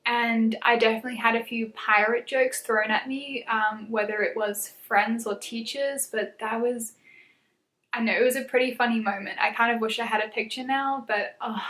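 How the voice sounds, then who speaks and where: A woman's voice: fairly high pitch, fairly even intonation, normal speed, fairly bright tone. A woman in her twenties from South Africa, chatting casually on her channel, with frequent laughs and fillers. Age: 20s. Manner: chatty, laughs often, many "um"s and "like"s. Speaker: YouTuber. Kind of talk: casual get-to-know-me chat. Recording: echoey room.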